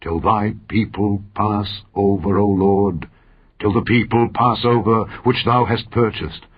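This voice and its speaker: Male Bible narrator, husky and solemn, measured declamatory phrasing, slightly dull recording. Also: lowish voice, fairly even intonation. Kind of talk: solemn scripture reading.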